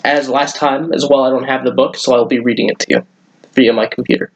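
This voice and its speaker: A man's voice: mid-range voice, steady tone, brisk, rough recording. A man hosting a children's story time, talking to young listeners and reading a story aloud.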